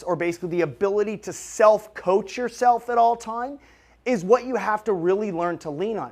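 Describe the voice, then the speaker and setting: High-pitched motivational man in his late thirties. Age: late 30s. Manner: emphatic, coaching, direct. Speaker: motivational business vlogger. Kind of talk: motivational monologue to an audience.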